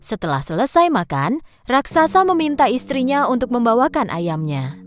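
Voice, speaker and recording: A woman storyteller with an Indonesian accent reads a children's tale. Her voice is fairly high and very animated in pitch, with faint music behind it. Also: normal speed. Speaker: storyteller, narrator. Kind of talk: scripted children's story narration.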